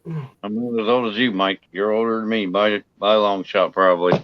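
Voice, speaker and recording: A man around forty chatting on a live stream panel, laid-back and low-key. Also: steady tone, normal speed, no echo, dull audio. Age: 40s. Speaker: panel guest. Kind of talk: casual chat on a live stream.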